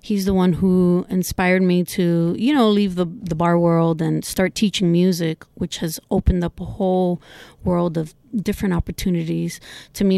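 Brief: thirtyish woman, native Spanish speaker, earnest, gravelly edge, a little low, dry close sound. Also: no room sound. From United States, Cuban family. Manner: warm, earnest, frequent ums and repeated words. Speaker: guest musician and music teacher. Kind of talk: casual interview conversation.

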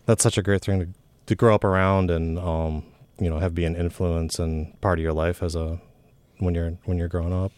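Deep, mellow-voiced male host. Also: brisk, dry recording. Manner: relaxed, conversational, with ums and restarts. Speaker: interview host. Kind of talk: casual interview.

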